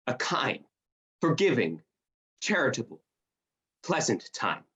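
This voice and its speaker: Muffled recording. Warm-hearted young male nephew, acted for radio play; declamatory, sincere, very varied intonation. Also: mid-range voice. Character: cheerful, earnest, warm-hearted young gentleman, Scrooge's nephew.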